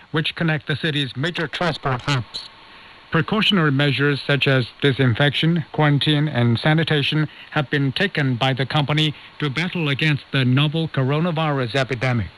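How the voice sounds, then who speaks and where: A man reading a scripted news bulletin as anchor, formal and measured, heard over shortwave radio. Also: fairly high pitch, a bit bright. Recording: noisy shortwave radio reception.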